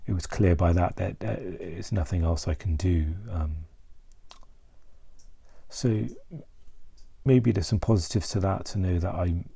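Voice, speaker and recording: Very deep, subdued man, hesitant. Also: creaky, dark tone. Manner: hesitant, halting, searching for words. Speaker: mental health vlogger. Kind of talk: confessional vlog monologue.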